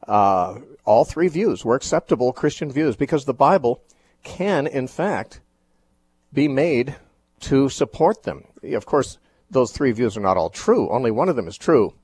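A man hosts a radio call-in program, explaining scripture at an unhurried pace. His voice is somewhat husky, and he often pauses with fillers and restarts his sentences. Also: mid-range voice, lively intonation, a little mellow. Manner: thoughtful, measured, with frequent fillers and restarts. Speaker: radio call-in show host. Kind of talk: Bible teaching on a call-in show.

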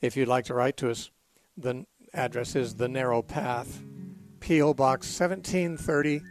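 A hoarse man hosting a live call-in Bible radio show, speaking with frequent fillers and restarts. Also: medium pitch, steady tone, moderate pace, faint music behind. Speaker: radio talk show host.

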